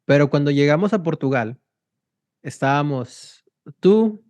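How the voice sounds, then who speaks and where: A young man from Mexico, hosting a casual interview and telling stories in a slangy, animated way. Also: a little high, unhurried, no echo, muffled recording. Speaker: podcast host.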